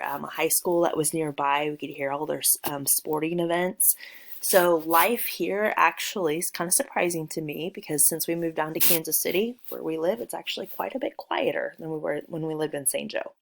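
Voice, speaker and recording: A woman from Missouri teaching a recorded remote lesson from home, talking in a friendly, relaxed way with frequent ums. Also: low voice, vocal fry. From Missouri, USA. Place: working from home.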